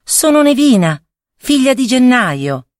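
A woman voicing a gentle, fragile young snow maiden in a storybook fairy tale, with a pleading manner. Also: high-pitched, big pitch swings, measured pace, no echo, studio quality, voice pushed up. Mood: innocent.

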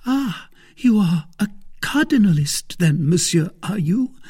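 A man voicing an indignant, sharp-tongued young wife in a period novel, scolding and haughty in an exclamatory way. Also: sits high, moderate pace, slightly rough, pitched above the performer's own voice.

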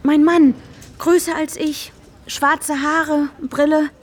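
An anxious, high-voiced young wife. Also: female voice, level tone, takes it slowly. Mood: panicked. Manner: breathy, urgent, often dropping to a whisper. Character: anxious young wife searching for her vanished husband. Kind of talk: radio drama acting with intimate inner monologue. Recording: guitar music behind the voice. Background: guitar music.

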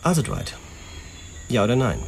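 An actor playing a brisk, commanding senior police official in a radio drama, authoritative and deliberate. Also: male voice, mid-range voice, huge pitch swings, slight background noise.

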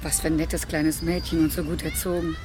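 An actress playing a fussy, chatty caretaker in a radio play. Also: female voice, sits low, level tone, a little fast, crowd noise, noisy background, close to an everyday voice.

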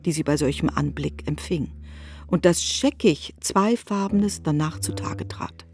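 A woman performing as a composed narrator in a literary radio drama, speaking in a measured, formal and deliberate way. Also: sits low, darkish tone, in a whisper.

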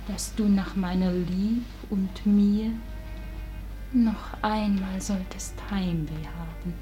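A woman's voice: medium pitch, mellow. An actress playing a forsaken, dying woman in a German verse radio drama, speaking solemnly and lyrically, often sinking to whispers, wistful and wounded.

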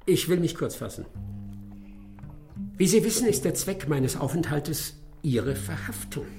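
A man acting a smug, courteous English gentleman detective in a German radio drama, dryly ironic and savouring each phrase. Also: fairly high pitch, lively intonation, unhurried, gravelly, faint music behind. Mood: businesslike, determined.

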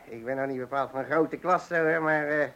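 An actor playing a chatty working-class window cleaner and keen angler in a radio play, folksy, boastful and amused with himself. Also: male voice, fairly high pitch, normal speed, sharp tone, highs cut off. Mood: falsely modest. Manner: folksy, boastful, self-amused, hesitant openings.

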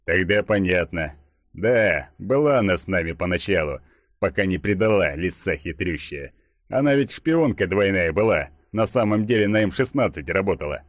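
Man acting a deep-voiced burly, gruff veteran. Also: lively intonation, normal speed, dry recording, clean studio sound. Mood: grumpy. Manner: colloquial, grumbling, rambling. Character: burly, gruff, tipsy ex-soldier, grumpy and suspicious.

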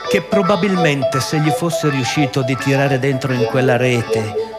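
A man narrating radio theatre with wry commentary, in an expressive, ironic storytelling manner. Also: medium pitch, unhurried. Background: music.

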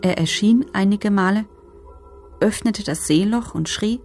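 A woman playing a former camp prisoner who gives sober, measured testimony in a documentary radio drama. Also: mid-range voice, darkish tone, faint music behind. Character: former female concentration camp prisoner giving grim testimony.

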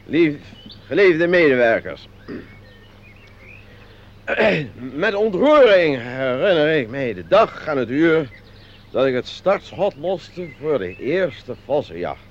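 Slow, pompous company director. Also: male voice, fairly high pitch, sing-song, full character voice. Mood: pompous, moved. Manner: pompous, halting, florid oratory. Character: pompous, sentimental company director Mr Wolf giving a speech. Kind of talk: Dutch radio play acting. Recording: dull lo-fi radio recording.

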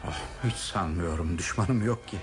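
A man's voice: low voice, moderate pace, close to an everyday voice. A man in a radio drama playing a courteous, worried older male aristocrat, with formal and hesitant speech. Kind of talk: 1970s radio drama acting. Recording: radio drama with music behind.

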